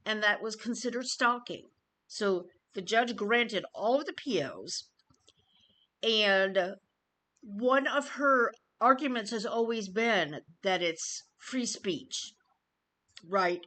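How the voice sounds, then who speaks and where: Slow-paced woman giving a YouTube update. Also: mid-range voice, a bit bright. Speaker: YouTube commentator. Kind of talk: informal news update to viewers.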